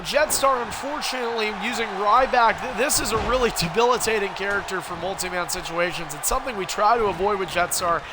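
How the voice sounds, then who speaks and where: A man with a cold, sounding hoarse, hosting a game livestream and calling the action play-by-play like a wrestling announcer. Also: sits high, noisy background. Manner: wrestling-announcer calls, rising and punchy. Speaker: stream host and commentator.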